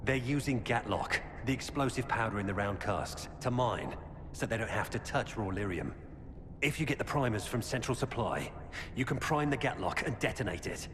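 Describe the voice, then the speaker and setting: An actor playing a weary, earnest former knight turned defector, giving grave, urgent warnings in a video game. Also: male voice, mid-range voice, expressive, moderate pace, lightly acted. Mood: grim, resolute. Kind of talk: dramatic video game dialogue. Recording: cavernous reverb with loud background noise.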